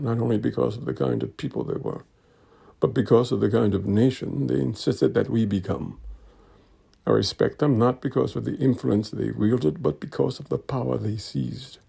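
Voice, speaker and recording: A man giving a dramatic reading of a commemorative speech, in measured, deliberate oratorical phrasing. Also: medium pitch, creaky, dark tone, husky.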